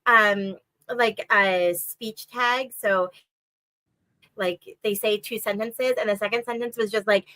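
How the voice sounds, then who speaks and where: Bright, chatty woman with a stuffy nose. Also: takes it slowly, no echo. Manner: chatty, lots of "like" and "um", lively rises in pitch. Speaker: co-host of a reading sprint stream. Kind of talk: casual chat.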